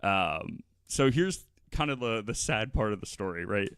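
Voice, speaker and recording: A man with a low voice, recorded close and dry. He talks informally, like a podcast panelist recounting a story to friends, stopping and restarting phrases as he goes. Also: animated, fairly bright tone, clear, resonant tone, no echo. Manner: halting, informal, repeats words.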